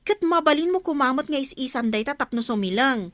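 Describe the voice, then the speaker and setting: A woman's voice: high-pitched, expressive, a little fast, fairly bright tone, dry recording, clean studio sound. A woman with an Ilocano accent playing a blunt, boastful young wife who scolds her friend in a radio drama. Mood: scolding.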